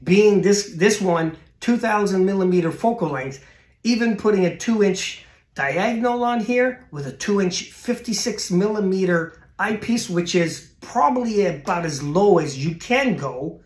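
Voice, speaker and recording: A man's voice: sits high, animated, measured pace, darkish tone. A middle-aged man chatting to the camera from an indoor room, giving casual advice as the host of an astronomy channel, with fillers and restarts.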